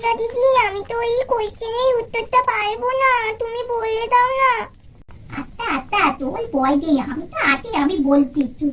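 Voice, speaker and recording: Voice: very high voice, moderate pace, fairly bright tone, slight background noise. A performer voicing an eager young schoolchild doll in a puppet story, with a sing-song childlike delivery. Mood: unsure, whispering aside. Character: eager young schoolchild dolls answering quiz questions.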